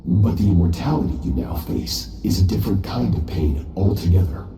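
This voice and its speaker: A dark, aloof master swordsman. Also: low voice. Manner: calm, measured, lofty. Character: aloof, self-assured male master swordsman. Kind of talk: game voice acting. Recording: roomy, distant, faint music.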